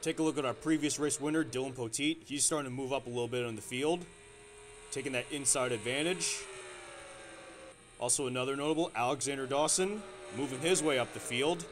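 Male amateur race caller, mid-range voice, excitable and rough-edged delivery, faint noise floor. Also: medium pitch, a little fast. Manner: excitable, restarts sentences with fillers. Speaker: race commentator. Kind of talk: amateur sim racing play-by-play commentary.

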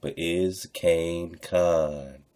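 Male radio host, very deep pitch, dark relaxed tone, slangy, freewheeling commentary, repetitive emphatic phrasing. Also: extremely slow. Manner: repeats phrases for emphasis, slangy direct address to listeners. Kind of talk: casual freewheeling commentary on texts read aloud.

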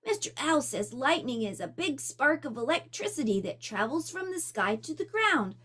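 A know-it-all young beaver from a storybook, matter-of-fact and explaining, as a woman reading aloud puts him on. His voice is high and lively. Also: moderate pace, full character voice.

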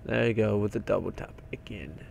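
A young man with a croaky voice, rambling and swearing casually while narrating his gameplay. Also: on the low side, fairly quick, a little mellow, a little hiss or hum. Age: young adult. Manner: rambling, swears often, mutters to himself. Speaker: game video maker.

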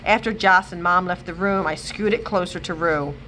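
A woman librarian reading a children's chapter book aloud with expressive, animated storytelling. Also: fairly low pitch, moderate pace, a little hiss or hum. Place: library, indoors.

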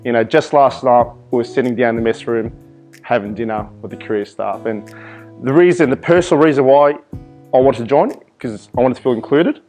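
A man's voice: medium pitch, fairly quick, darkish tone, soft background music. A young man from Iraq whose first language is Arabic, a volunteer firefighter answering interview questions earnestly, with many ums and restarts, in a fire station office.

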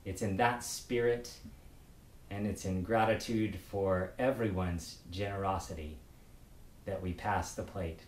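A middle-aged man with a fairly low voice addresses a congregation as their pastor. His phrasing is formal and deliberate, in the measured style of church announcements. The sound is clearly roomy and echoing, as if recorded indoors a few steps from the camera. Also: steady tone, noisy background. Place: book-lined study indoors, at a lectern.

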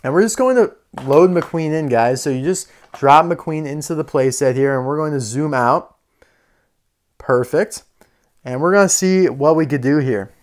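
A man's voice: a little high, normal speed. A man giving casual, unscripted hands-on toy commentary, chatting and thinking aloud as he goes. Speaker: toy reviewer. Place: indoor room full of toy displays.

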